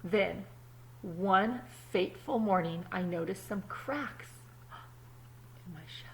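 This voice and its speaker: A woman voicing an earnest, dutiful little egg in a children's storybook, telling its own tale with drawn-out emphasis. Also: fairly low pitch, creaky, slight background noise, rough recording. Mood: strained. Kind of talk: first-person children's storybook narration.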